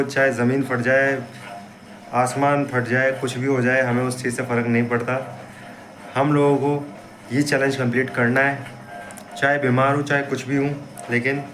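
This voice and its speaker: A young man with a hoarse voice and a blocked nose, giving an upbeat pep talk to viewers in a casual fitness vlog. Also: mid-range voice. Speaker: fitness vlogger. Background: street traffic and wind.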